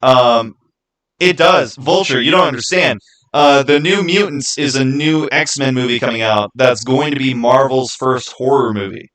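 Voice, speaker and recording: A man's voice: medium pitch, unhurried, fairly bright tone, low-quality recording. Casual, rambling male livestreamer. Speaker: livestream host. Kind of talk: casual livestream chat, answering viewers' comments.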